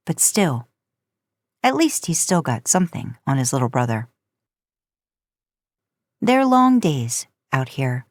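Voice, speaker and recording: Low-voiced woman reading a story, dry sound. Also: darkish tone, no echo. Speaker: podcast host narrating fan fiction. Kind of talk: story narration, reading aloud.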